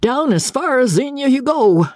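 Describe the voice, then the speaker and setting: A woman voicing an excitable, commanding male riverboat captain in an audiobook, loud and emphatic. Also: high voice, normal speed, no room sound, studio quality, pitched above the performer's own voice.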